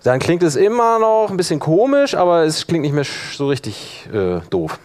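A man's voice: on the high side, sing-song, normal speed, darkish tone. Man giving an informal workshop. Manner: loose, conversational, addresses listeners with questions. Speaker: workshop presenter. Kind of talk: informal live software tutorial.

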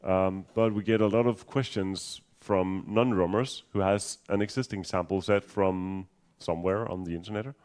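Low, mellow-voiced Danish man presenting. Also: fairly even intonation, moderate pace, few pauses, clean tone. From Denmark. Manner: hesitant, many ums and restarts, dry humor. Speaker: conference speaker. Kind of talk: technical conference talk.

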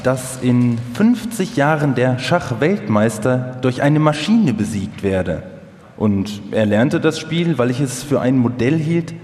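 Male inventor recalling his work played by an actor, musing, mid pitch, live radio play in a reverberant hall. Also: animated, slow, darkish tone. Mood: proud, reminiscing. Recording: echoey hall with long reverb.

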